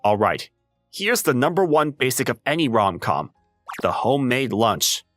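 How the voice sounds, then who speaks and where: A man playing a flustered, introverted high school boy and aspiring writer in an anime-style comic dub, animated and prone to exasperated lecturing. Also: normal speed, no room sound, clean studio sound, soft background music. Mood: confident lecturing.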